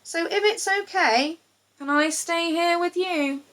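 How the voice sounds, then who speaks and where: A woman voicing a friendly, lonely crocodile in a children's storybook, coaxing and gently teasing. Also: very high-pitched, slow, voice pushed up, exaggerated voice. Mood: pleading.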